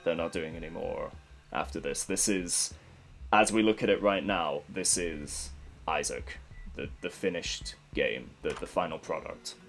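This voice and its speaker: A man's voice: sits low, a little slow, fairly bright tone, faint music behind. A young man with a congested, stuffy voice, rambling candidly and swearing casually as he commentates a game he is playing. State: congested from a cold. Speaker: gaming commentator.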